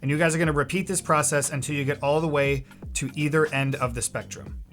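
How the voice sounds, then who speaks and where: A man's voice: a little high, fast talker, a bit bright, faint music behind. A man hosting a video editing tutorial in a casual, chatty, jokey and informal way. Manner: informal, jokey, addresses viewers as guys. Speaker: tutorial host.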